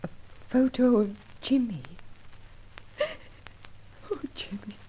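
An actress playing a proud, guarded young war widow in an old radio melodrama, with an emotional, halting, defensive delivery. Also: female voice, fairly high pitch, warm tone, breathy edge. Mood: stunned. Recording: muffled, lo-fi old radio recording.

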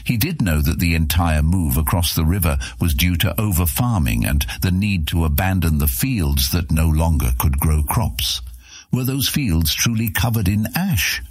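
A man's voice: low-pitched, expressive, normal speed, a little mellow, slightly rough, no room sound, clean studio sound. A man narrating an epic fantasy story as a scripted audiobook, with dramatic storytelling delivery.

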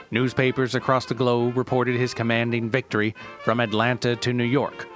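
A man narrates in the measured language of a formal documentary, keeping a straight, deadpan delivery. His voice sits at a medium pitch, with light music underneath. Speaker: narrator and writer of a story podcast. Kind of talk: scripted documentary-style narration.

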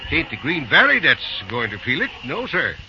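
An actor playing a genial, folksy old railroad boss in an old-time radio drama, with a rustic, exclamatory drawl. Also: male voice, on the high side, wildly swooping pitch, fairly quick. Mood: earnest, confiding. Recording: muffled, noisy old radio recording.

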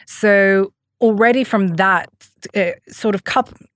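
A woman's voice: medium pitch, takes it slowly, no room sound. Woman, from the Emirates, young adult, interview guest, hesitant and reflective, dry close sound. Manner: thoughtful, hesitant, many fillers and restarts.

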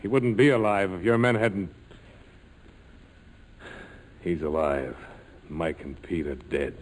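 An actor playing a curt, world-weary former army major in a 1940s radio drama, with terse, hard-bitten delivery. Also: male voice, sits low, fairly even intonation, normal speed, close to an everyday voice. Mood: bitter, weary. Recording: noisy vintage radio broadcast recording.

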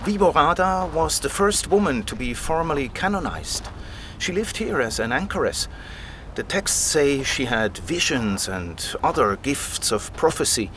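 A man's voice: fairly high pitch, fairly bright tone, a little hiss or hum. An actor playing a keen, patient male scholar who explains things like a storyteller in an audio drama. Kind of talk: conversational audio drama dialogue.

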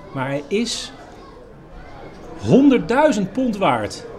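A man hosting a podcast, casually reporting on the spot. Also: fairly high pitch. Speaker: podcast host. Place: mostly outdoors at a small football ground. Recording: outdoor football crowd, music behind.

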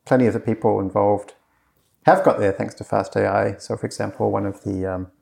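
Gravelly low-voiced man, chatting loosely. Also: steady tone, fairly quick, a little mellow. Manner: rambling, heavy on fillers and restarts. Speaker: course creator being interviewed. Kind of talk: casual conversation.

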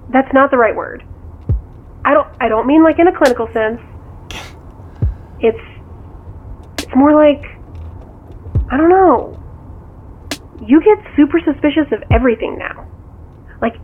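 A woman's voice: high voice, measured pace, pauses often, a little hiss or hum. A woman acting in an audio drama as a level-headed, inquisitive confidante, talking conversationally through a phone filter. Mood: apologetic. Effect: phone call filter. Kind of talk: naturalistic audio drama dialogue. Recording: tinny phone line, music bed.

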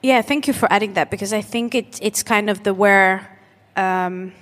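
A woman's voice: medium pitch, moderate pace, fairly bright tone. A woman from Ethiopia hosting a panel discussion, talking thoughtfully with many hesitations and restarts. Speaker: host and programmer. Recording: reverberant hall.

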